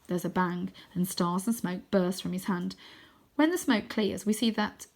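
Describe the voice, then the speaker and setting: A woman's voice: on the low side, unhurried, gravelly. Woman reading a children's story dramatically. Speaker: storybook reader. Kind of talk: expressive children's audiobook narration.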